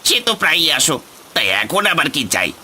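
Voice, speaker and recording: A man's voice: a little high, big pitch swings, quick pace, sharp tone, slight background noise, full character voice. A man voicing a stingy, grudging village neighbour in a cartoon, curt and dismissive.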